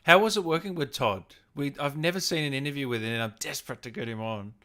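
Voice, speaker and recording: A man with a mid-pitched voice hosts a casual livestream chat. He reads out questions in an informal, reactive way, exclaiming as he goes. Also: fast, pushed and bright. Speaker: interview host reading viewer questions.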